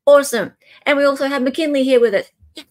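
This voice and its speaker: High-voiced woman hosting a livestream. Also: fast talker, a little mellow. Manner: gushing, repeats words for emphasis. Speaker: livestream host. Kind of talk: casual livestream chat.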